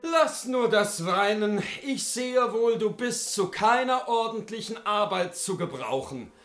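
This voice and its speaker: A man's voice: high-pitched, animated, a little slow, fairly bright tone, faint music behind, voice pushed up, exaggerated voice. A man voicing a proud, stern king and husband in a fairy tale, speaking in a theatrical, admonishing manner. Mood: scolding. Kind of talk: fairy-tale audiobook character voice.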